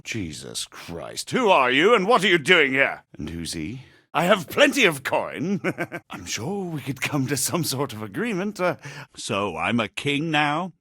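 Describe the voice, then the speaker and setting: An actor voicing a proud, roguish medieval baron in a video game, with a wry, condescending and formal way of talking. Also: male voice, medium pitch, bright, forceful tone, dry recording, studio quality, full character voice. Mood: haughty, startled. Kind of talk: video game character dialogue.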